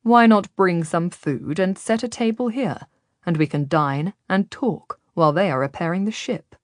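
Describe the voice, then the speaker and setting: A woman's voice: on the low side, normal speed, no room sound, clean studio sound, close to an everyday voice. A woman as a confident, mocking galley commander. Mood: inviting. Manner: abrupt, teasing, tempting.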